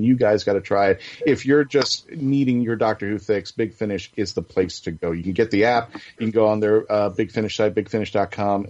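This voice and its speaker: A man's voice: lowish voice, a little mellow. A man hosting a casual fan podcast, chatting enthusiastically about things he likes. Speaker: podcast host. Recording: dull lo-fi audio.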